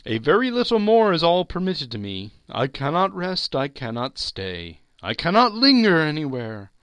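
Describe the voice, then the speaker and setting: A man acts out a tormented storybook ghost, doomed and grieving. The voice is pitched high and swoops widely, with a piercing, forceful edge. Words are drawn out into solemn, wailing declamation. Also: huge pitch swings, normal speed, clean tone, dry recording. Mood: anguished. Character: anguished ghost of a dead businessman, wailing and doomed. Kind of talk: dramatic storybook reading.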